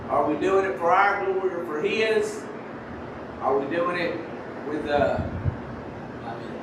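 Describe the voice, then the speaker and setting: Guy preaching softly in a big echoey church, high voice, kinda far from the mic, bit of noise. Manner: soft-spoken preaching, holds his voice down on the mic. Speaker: lay preacher. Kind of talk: sermon. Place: church sanctuary. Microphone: microphone.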